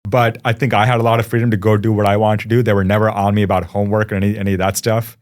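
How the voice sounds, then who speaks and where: Thoughtful Indian-born man, low-voiced guest. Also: level tone. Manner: thoughtful, reflective, often pausing with "you know". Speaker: guest, startup founder. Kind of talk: casual interview conversation.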